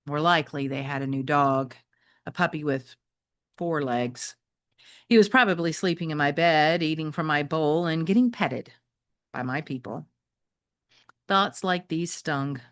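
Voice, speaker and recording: A woman voicing a wry, wistful three-legged shelter dog who loves food, telling a children's story in an expressive, conversational way. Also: deep voice, measured pace, pauses often, dry recording, studio quality. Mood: wistful, hurt. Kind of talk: first-person children's novel read aloud.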